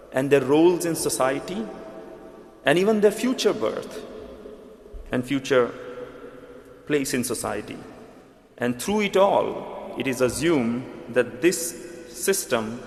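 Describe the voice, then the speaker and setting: A man with an Indian accent delivers a sermon in an earnest, measured way, his voice sitting in a middle pitch. The sound rings out through a large church hall with a long, echoing reverb. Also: expressive, gravelly. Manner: earnest, measured preaching with restarts. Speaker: preacher.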